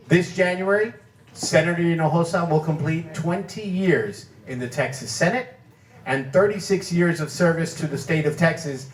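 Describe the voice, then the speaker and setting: A man presenting an award, reading a prepared speech full of facts and figures in a measured way. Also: on the high side. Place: banquet hall. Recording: echoey hall, distant mic.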